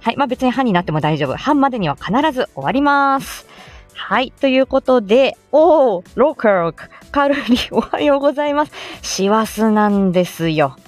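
A woman's voice: a little high, animated, moderate pace, faint music behind. A woman chatting casually as a live-stream host, greeting listeners from inside a car.

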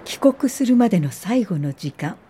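Older lady acting narrating her life for radio drama, earnest, warm dark tone, bit of noise. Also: mid-range voice, very animated, normal speed, close to an everyday voice. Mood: reflective. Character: earnest Japanese woman social worker recalling her life. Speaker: narrator and lead actor.

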